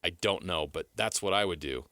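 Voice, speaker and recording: A man's voice: deep voice, brisk, sharp tone, no room sound, clean studio sound. An American man co-hosting a sports talk show, pressing his case insistently and repeating points for emphasis. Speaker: sports radio co-host. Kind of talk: sports talk, making a pitch to a co-host.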